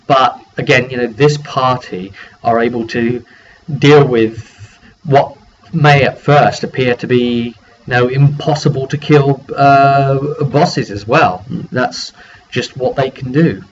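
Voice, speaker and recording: A man with a medium-pitched voice recounts a tabletop session informally, as the one who runs the game. He rambles, restarting and repeating phrases as he goes. The sound is slightly muffled and lo-fi, with a little noise in the background. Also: steady tone, takes it slowly. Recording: dull lo-fi mic with faint background noise.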